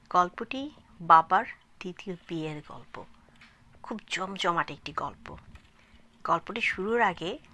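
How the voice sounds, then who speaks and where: A woman storyteller narrates a scripted tale at a slow, measured pace. Her voice is clearly bright and forward, and she delivers the text phrase by phrase. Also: medium pitch. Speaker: channel storyteller.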